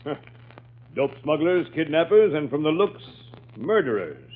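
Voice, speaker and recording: An actor playing a brusque, self-satisfied police commissioner in 1930s radio style. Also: male voice, fairly high pitch, big pitch swings, measured pace. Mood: brusque, triumphant. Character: gruff, triumphant male police commissioner. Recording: tinny old radio recording.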